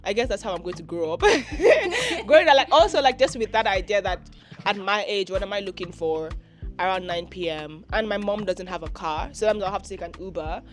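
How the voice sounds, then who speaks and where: A woman's voice: medium pitch, expressive, fairly quick. Teen girl from Nigeria, chatty, lots of fillers, faint music under her. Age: teens. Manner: chatty, full of "like" fillers, giggly. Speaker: interviewee. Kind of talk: casual interview answers.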